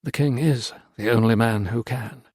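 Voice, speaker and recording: A man doing a gentle quiet priest. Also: medium pitch, moderate pace, no room sound, studio quality, close to an everyday voice. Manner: quiet, soft, patient. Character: gentle older priest, calm and compassionate.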